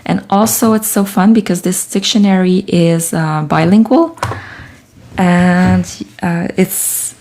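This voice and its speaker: Relaxed woman, slow gravelly voice. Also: fairly low pitch. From Quebec, Canada. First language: French. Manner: chatty, thinks aloud, reads viewer comments. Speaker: artist livestreaming her process. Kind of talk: casual livestream chat while working.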